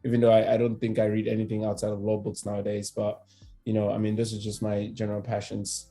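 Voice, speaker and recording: A man from Cameroon in his thirties, an immigration attorney speaking as a podcast guest, relaxed and hesitant with many fillers and restarts. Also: on the low side, fast talker, faint music behind. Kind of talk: conversational podcast interview.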